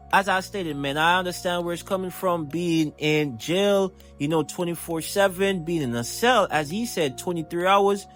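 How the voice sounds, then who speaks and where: A man's voice: high-pitched, a bit bright. A man giving casual, emphatic commentary, repeating his points and addressing the listener directly as a channel host. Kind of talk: casual reaction commentary to camera or mic. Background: music.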